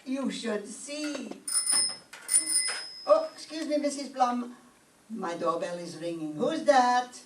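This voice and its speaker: A woman's voice: a little high, expressive, slow, pauses often. Woman playing a Yiddish-accented fussing mother, roomy stage. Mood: chatty, excitable. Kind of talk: old-time radio comedy acting. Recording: roomy stage pickup, distant mic, faint noise.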